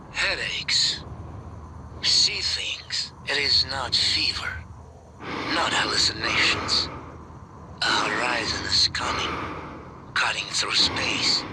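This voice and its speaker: Ominous male prisoner: unhurried, clipped, half-whispered game doomsayer, noisy ambience. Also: medium pitch. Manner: clipped cryptic phrases, often sinking to a whisper. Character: haunted, ominous escaped male prisoner warning of doom. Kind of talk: video game voice acting.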